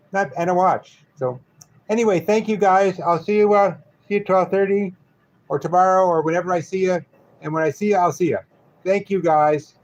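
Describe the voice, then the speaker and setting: A man with a Brooklyn accent chats casually with viewers on a live stream, high-pitched and joking. He rambles from topic to topic. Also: lo-fi sound. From New York.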